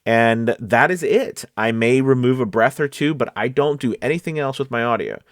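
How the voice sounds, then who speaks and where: A man's voice: medium pitch, normal speed, no echo. A man speaking as a voice-over coach in a casual tutorial, conversational and often checking in with his listeners. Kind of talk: casual talking-to-camera tutorial. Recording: close, dry home studio sound.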